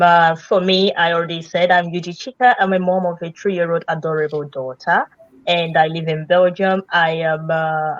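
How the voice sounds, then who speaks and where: Low-pitched woman hosting a live video chat, lots of ums, dull dry lo-fi sound. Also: dry recording. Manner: warm greetings with frequent fillers. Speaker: host. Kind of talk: casual live-stream chat.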